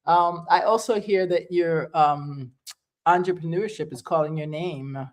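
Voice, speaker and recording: A woman hosting a casual interview, animated and quick to laugh, encouraging her guest. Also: on the low side. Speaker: host.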